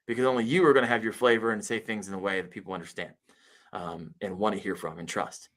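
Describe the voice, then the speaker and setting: A man's voice: fairly low pitch, fairly even intonation, fast, a bit bright. A man guest on a live podcast, talking earnestly and emphatically in a coaching way about making videos. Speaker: podcast guest, kayak fishing YouTuber. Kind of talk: casual live podcast interview.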